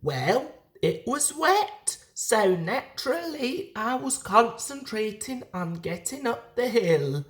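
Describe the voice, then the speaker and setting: A proud male steam engine from a children's storybook, played by a woman, recounts adventures at a slow pace. The pitch lifts and swoops with boastful drama. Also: sing-song. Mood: dramatic, animated.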